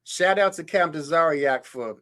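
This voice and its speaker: A man's voice: on the high side, moderate pace, a bit bright. Man hosting a mocking commentary show. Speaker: show host. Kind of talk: reading aloud and reacting to clips.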